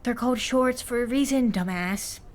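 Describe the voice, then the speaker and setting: A woman's voice: a little high, normal speed, voice pushed up, natural voice. A smug teenage girl in a read-aloud story, played by a woman. She is bossy and teasing, tossing out her lines with cocky banter. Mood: bickering.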